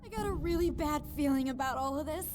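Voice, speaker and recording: A woman's voice: high-pitched, quick pace, lightly acted. An actress playing a nervous young woman who works at a motel, hesitant and stammering, in a video game. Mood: panicked. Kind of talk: video game cutscene acting. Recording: game audio over music.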